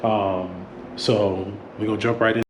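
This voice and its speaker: Male host with a head cold, casual how-to explainer, roomy echo, steady fan hum behind. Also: fairly low pitch, unhurried. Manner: relaxed, many ums and restarts. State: stuffy from a cold. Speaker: auto mechanic channel host. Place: home garage workspace. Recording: echoey room with fan noise.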